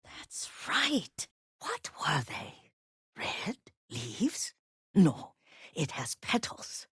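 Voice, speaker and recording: An elderly Japanese woman, a devoted family caretaker in a dramatic video game. Her soft, husky voice moves slowly and gently, deferential and steeped in nostalgia. The recording is close and dry. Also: sits low, wildly swooping pitch, dry recording, heavily acted. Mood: confused, wistful. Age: older. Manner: gentle, deferential, unhurried, reminiscing.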